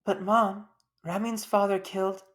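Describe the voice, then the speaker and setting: A woman voicing an eager, outspoken little schoolgirl in a storybook, exclaiming excitably. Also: medium pitch, unhurried, pitched above the performer's own voice, lightly acted. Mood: protesting.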